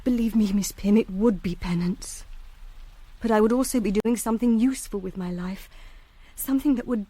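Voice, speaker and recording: Actress doing young student in a radio play, soft mellow voice, earnest n guilty, halting, well spoken. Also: female voice, mid-range voice, no echo, lightly acted. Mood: solemn resolve. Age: young adult. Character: earnest, guilt-ridden young woman student pleading for reprieve. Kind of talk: radio drama acting.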